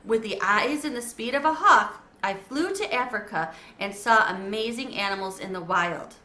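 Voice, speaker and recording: A woman's voice: on the high side, animated, normal speed, sharp tone. A woman voicing a thoughtful young schoolboy who talks plainly and earnestly. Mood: reading. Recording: echoey room.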